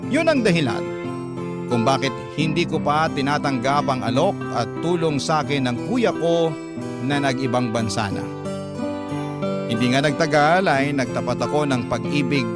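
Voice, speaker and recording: Young male narrator actor, radio drama confession: earnest, confiding, medium pitch, prominent guitar bed. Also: lively intonation, moderate pace, lightly acted. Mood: earnest, reflective. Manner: sincere, confiding. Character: earnest young man confiding his life story. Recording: guitar music behind the voice.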